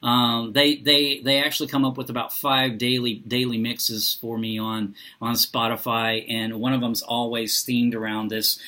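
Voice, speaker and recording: A man talking casually and unscripted as a vlogger, halting often as he searches for his words. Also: mid-range voice, flat delivery, bright, forceful tone. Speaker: book and reading vlogger. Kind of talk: casual unscripted vlog talk.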